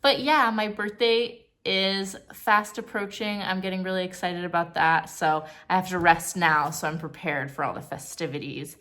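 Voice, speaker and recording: A woman's voice: on the low side, fairly even intonation, normal speed, hardly any pauses, bright, forceful tone, no room sound. A woman in her thirties talking casually to camera in a vlog, chatty and bubbly with a slightly congested sound. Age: 30s. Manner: chatty, bubbly, self-deprecating asides. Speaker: vlogger. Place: out and about, car and home.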